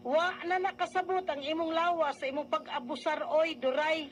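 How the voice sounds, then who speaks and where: A woman's voice: high-pitched, steady tone, moderate pace, heavy background noise, close to an everyday voice. A woman playing a concerned, scolding elder sister with a Filipino Bisaya accent in a radio drama. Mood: exasperated. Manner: nagging, admonishing.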